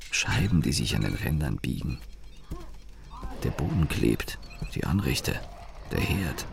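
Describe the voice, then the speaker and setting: An actor playing a disaffected, sardonic young man who narrates his own radio drama in a deadpan, grumbling way. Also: a bass voice, a little slow, vocal fry, heavily acted. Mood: deadpan, disgruntled. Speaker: narrator and main character. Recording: noisy background ambience.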